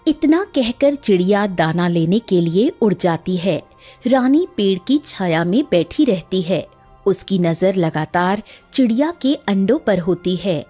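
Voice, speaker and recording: A woman's voice: medium pitch, expressive, measured pace, mellow, faint music behind. A woman narrating a scripted cartoon moral story as its storyteller.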